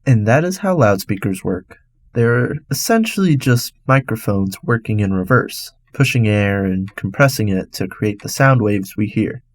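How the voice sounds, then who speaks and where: A man's voice: lowish voice, no room sound. A man hosting a radio segment, reading a scripted explainer in an instructional, step-by-step way. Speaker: radio host.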